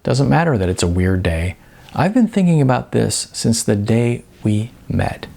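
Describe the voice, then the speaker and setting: A man's voice: medium pitch, sing-song, moderate pace, vocal fry, mellow. A man voicing an earnest, smitten young woman who speaks frankly and coaxingly in an audiobook.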